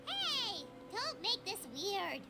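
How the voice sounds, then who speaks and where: A woman's voice: very high-pitched, very animated, slow pace, vocal fry, over music, heavily acted. A woman voicing a small, childlike, chirpy and eager fairy companion in an anime video game. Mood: excited, chirpy. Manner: perky, refers to self in third person.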